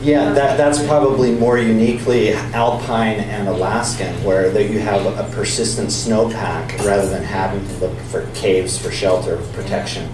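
Brief: lower-voiced male scientist, relaxed lecturer, recorded from far off in a noisy, echoey pub. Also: normal speed, few pauses. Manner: relaxed, explanatory, with some restarts. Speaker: scientist giving a public talk. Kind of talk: lecture and audience Q&A. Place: bar or pub venue with an audience. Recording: echoey, distant, noisy room.

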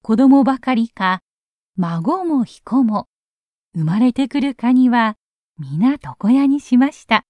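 Slow, expressive woman storyteller. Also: a little high, dry recording, lo-fi sound. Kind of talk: expressive children's story narration.

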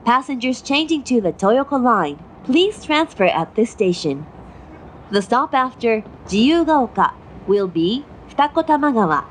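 A woman reads formal station announcements. She is slow and measured, with a fairly high voice. Faint rumble of a running train sits behind her. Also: big pitch swings. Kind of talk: scripted railway announcement. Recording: noisy onboard train recording. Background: train running noise.

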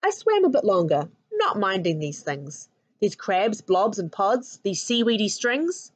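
A woman in her thirties with a New Zealand accent reads a children's picture book aloud with an expressive, sing-song rhyming delivery. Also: medium pitch, unhurried. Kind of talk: storybook read-aloud for children. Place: living room at home. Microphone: webcam at arm's length.

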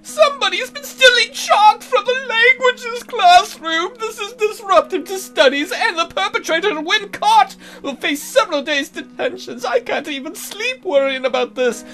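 Shrill and wildly swooping, this is an excitable schoolteacher from a visual novel. The delivery is silly, squeaky and over-emphatic, with music playing underneath. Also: female voice, normal speed, few pauses. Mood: mock outrage.

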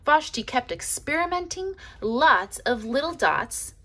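A woman reading a children's picture book aloud expressively, with dramatic pauses, as if to young listeners. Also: sits high, big pitch swings, a bit bright.

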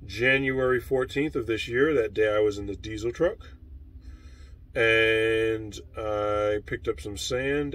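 A man's voice: mid-range voice, a bit bright. A man in his forties, a truck driver vlogging, explaining things step by step to viewers while filming on a phone at arm's length, first outdoors by his truck and then in the cab. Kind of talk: casual explanatory vlog. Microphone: handheld phone at arm's length.

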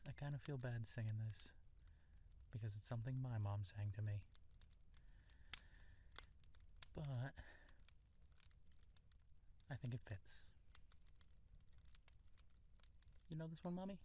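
A man playing an affectionate, playful young husband and new father in an intimate audio roleplay, with a tender, teasing manner and hesitant pauses. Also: mid-range voice, noisy background, natural voice.

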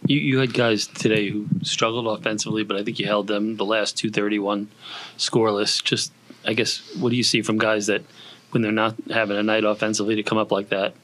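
A man with a fairly low voice asks a question as a press reporter. His delivery is very even, with little rise or fall in pitch. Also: a little fast, poor audio.

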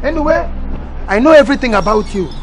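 Actress as a high-voiced fervent Nigerian prophet. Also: female voice, expressive, fast, crowd noise, close to an everyday voice, poor audio. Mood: assertive. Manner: emphatic, breaking into ecstatic chanting. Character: fervent self-assured prophet claiming to know everything.

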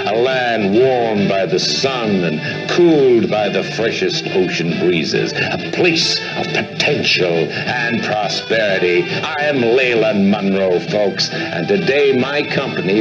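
An actor playing a middle-aged American property developer delivering a grandiose, persuasive 1940s radio advertisement. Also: male voice, on the low side, heavily acted. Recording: dull old radio, music behind.

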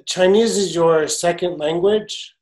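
A man's voice: high voice, slow pace, a little mellow. Middle-aged man asking questions in an echoey room. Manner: curious, asks short questions. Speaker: host and Chinese learner. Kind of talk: casual language lesson on a video call. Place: home study with bookshelves. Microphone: video call, webcam mic.

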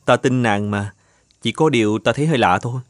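A man voicing an earnest, devoted young bridegroom in an animated folktale, questioning and polite. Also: medium pitch, a little fast, dry recording, studio quality, natural voice. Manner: earnest, questioning, old-fashioned polite speech. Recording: music under the voice.

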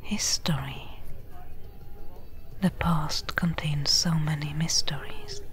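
Casting: actress as seasoned female caravan trader for ASMR roleplay, breathy whisper, low register, very unhurried, friendly and reassuring. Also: fairly even intonation. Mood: calm, friendly. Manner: slow, gentle, unhurried. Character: friendly seasoned woman desert trader, reassuring travel companion.

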